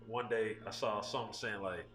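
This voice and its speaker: A man hosting a podcast. He speaks in an easygoing, laid-back way, and his voice is bright and forceful. The room adds noticeable echo. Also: lowish voice, fairly even intonation, fairly quick, faint music behind. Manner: laid-back, frequent agreeing hums. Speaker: podcast host. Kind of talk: casual podcast interview.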